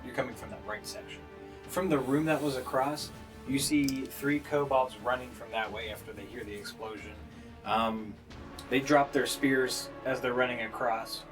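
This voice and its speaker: A man running a tabletop game as game master, narrating scenes off the cuff with halting pauses to think. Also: mid-range voice, fairly even intonation, over music.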